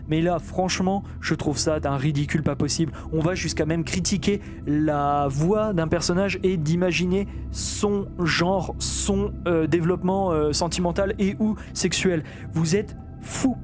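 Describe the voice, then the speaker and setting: A man ranting in a casual vlog, rambling with many fillers and restarts. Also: fairly high pitch. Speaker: YouTuber. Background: music.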